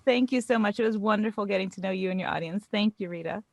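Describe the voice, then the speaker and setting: Woman, American accent, podcast guest. Medium pitch, casual conversational delivery, very dry recording. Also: fast talker, gravelly, no room sound. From United States. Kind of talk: casual interview chat.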